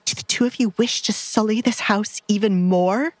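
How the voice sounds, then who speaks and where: A woman's voice: mid-range voice, moderate pace, no echo, close to an everyday voice. A woman voicing a haughty, middle-aged noblewoman, a cruel stepmother who scolds with cutting contempt in an audio drama. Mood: scornful anger.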